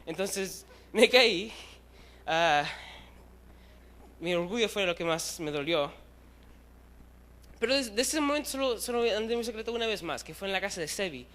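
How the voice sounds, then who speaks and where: Young man preaching with high, animated voice. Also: moderate pace, pauses often. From Chile. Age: young adult. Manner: animated, self-deprecating, mixes in English words. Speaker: youth preacher. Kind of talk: casual storytelling sermon. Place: church hall.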